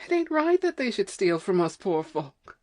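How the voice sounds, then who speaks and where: A woman's voice: medium pitch, very animated, moderate pace, a little mellow, natural voice. A woman playing an overworked, nervous, complaining farm wife in an amateur audio play, with folksy rustic speech. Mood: resentful. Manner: folksy rustic dialect, fretful.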